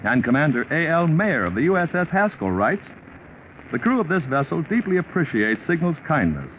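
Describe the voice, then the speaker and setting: Animated, high-pitched 1940s radio announcer man. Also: normal speed. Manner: polished, salesmanlike. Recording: tinny 1940s radio recording, faint noise.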